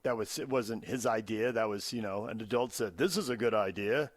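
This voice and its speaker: A man's voice: lowish voice, a little fast, dry recording. An American man co-hosting a sports radio show, arguing his opinions point by point in unscripted debate.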